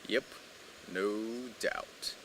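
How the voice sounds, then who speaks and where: A man's voice: lowish voice, very slow, voice pushed down. A man storyteller voicing a young mountain bridegroom, plain-spoken and protective, in a folk tale told live. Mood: drowsy, puzzled. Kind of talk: storytelling character dialogue. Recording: noisy live event recording.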